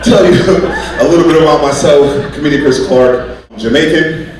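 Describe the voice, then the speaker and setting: A man doing a stand-up comedy set, animated, acting out bits with loud yelps and squeaky voices. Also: moderate pace. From United States. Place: comedy club stage. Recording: distant, echoey live club recording with loud crowd.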